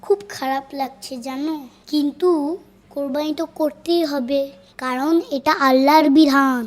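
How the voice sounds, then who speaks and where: A performer playing a gentle, earnest young cartoon boy. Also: high-pitched, fairly even intonation, moderate pace, lightly acted. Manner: earnest, plaintive. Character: gentle young boy who loves his goat, tearful. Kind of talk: cartoon voice acting.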